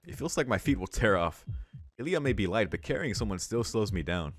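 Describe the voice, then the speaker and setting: A man with a fairly low voice reads game narration aloud and drops in casual comments. The sound is close and very dry. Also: sing-song, fairly quick, no room sound. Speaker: let's play host. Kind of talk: reading visual novel narration aloud with casual asides.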